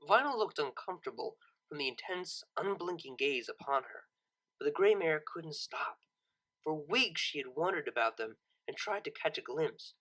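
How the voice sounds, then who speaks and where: Bright, lively story narrator. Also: medium pitch, normal speed. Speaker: narrator, audiobook reader. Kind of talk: expressive scripted story narration.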